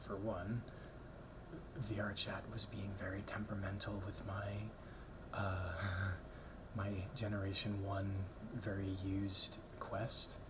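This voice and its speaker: A man whispering a rambling ASMR talk close to the mic, softly repeating words as triggers, with ums and small nervous laughs. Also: low voice, monotone, takes it slowly, noisy background. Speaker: ASMR creator. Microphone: close ASMR mic, brushed.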